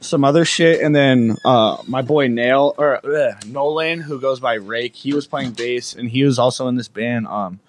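A young man from Alberta, a musician guest, talking in a relaxed, rambling way full of fillers. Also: mid-range voice, moderate pace, few pauses, slight background noise. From Alberta, Canada. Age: young adult. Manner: laid-back, rambling, with many fillers. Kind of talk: casual interview chat.

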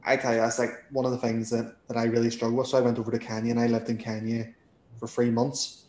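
A man with a Northern Irish accent hosting a podcast and asking questions in a relaxed, conversational way. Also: mid-range voice, fast, roomy sound, slight background noise. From Northern Ireland. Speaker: podcast host. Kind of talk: casual interview.

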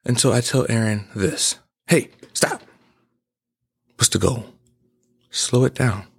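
A man's voice: medium pitch, takes it slowly, creaky, breathy edge. A man in his twenties giving an emphatic, preachy personal monologue as a podcast host. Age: 20s.

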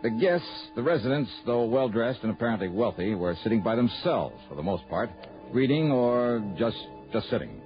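A man's voice: medium pitch, very animated, normal speed, soft background music. An actor playing a wry, easygoing insurance investigator, telling his case conversationally in a 1960s radio drama. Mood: dry storytelling. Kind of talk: 1960s radio drama, first-person detective narration. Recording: dull old radio recording.

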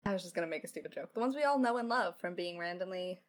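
A woman's voice: lowish voice, lively intonation, racing. A young adult woman from Canada chatting casually and jokingly as a podcast lead writer and co-host. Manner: playful, joking. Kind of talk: casual creator Q&A chat.

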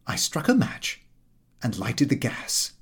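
A man's voice: mid-range voice, wildly swooping pitch, slow pace, slightly rough. A man narrating a comic first-person audiobook in a prim, precise, slightly aggrieved manner.

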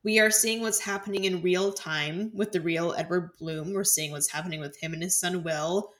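A woman's voice: lowish voice, fairly even intonation, normal speed. A woman co-hosting a casual film discussion, explaining her thoughts in a conversational, rambling way. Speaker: podcast co-host discussing a film.

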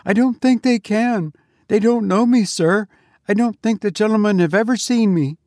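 A man's voice: high voice, normal speed, darkish tone, dry recording, clean studio sound, pitched above the performer's own voice, lightly acted. A man voicing a terrified, meek gravedigger who stammers deferentially in a storybook reading. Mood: pleading.

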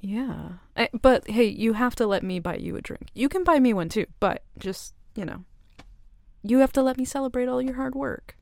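A woman voicing a flirty, teasing tomboy co-worker in an ASMR roleplay, soft-spoken and giggly. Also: fairly high pitch, expressive, moderate pace, vocal fry, no echo, studio quality.

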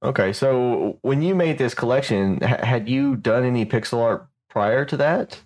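A man's voice: medium pitch, normal speed, darkish tone. A man hosting a weekly podcast, interviewing an artist in a friendly, encouraging way. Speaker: podcast host.

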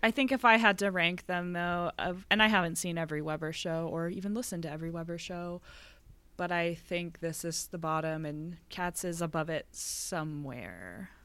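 A young adult woman in a casual podcast conversation, voicing firm opinions and turning emphatic as she argues. Her pitch sits a little low for a woman. The sound is close and dry, with no room echo. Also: moderate pace, clear, resonant tone. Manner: opinionated, gets animated and emphatic. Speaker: podcast co-host. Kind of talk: casual chat.

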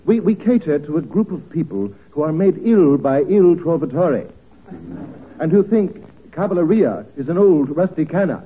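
An actor playing a pompous, sly theater manager with florid, overly genteel speech in a 1940s radio comedy. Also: male voice, on the high side, expressive, moderate pace, natural voice. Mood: wry, condescending. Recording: scratchy, tinny 1940s radio recording.

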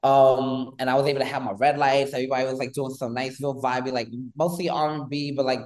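A man in his thirties from New York, a guest on an interview show, talking in an animated, rambling way with lots of fillers. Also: mid-range voice, fast talker. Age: 30s. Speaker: guest, pole dance instructor. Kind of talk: casual interview chat.

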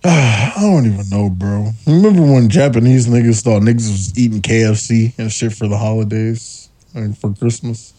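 A man hosting a casual podcast, joking crudely and laughing loudly and often. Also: on the low side, normal speed, few pauses, no room sound. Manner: crude joking, frequent loud laughing, animated. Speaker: podcast host.

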